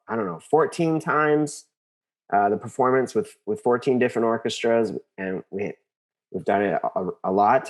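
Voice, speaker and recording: Earnest American man, halting storyteller. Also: fairly low pitch. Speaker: interview guest, composer and conductor. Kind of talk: casual personal storytelling in an interview.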